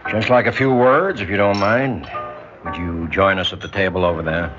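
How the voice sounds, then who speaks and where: An actor playing a gangster debt collector in a radio drama, courteous on the surface and menacing underneath. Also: male voice, low voice, normal speed, natural voice. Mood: politely threatening. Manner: politely menacing. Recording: dull lo-fi radio drama, loud music behind.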